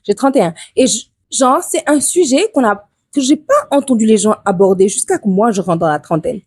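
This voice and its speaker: A woman, co-hosting a casual podcast chat with her best friend, talking quickly and slipping into English and Wolof. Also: on the high side, lively intonation, darkish tone, breathy edge, no room sound. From Senegal. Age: 30s. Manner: quick, chatty, mixing French with English and Wolof. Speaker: podcast co-host.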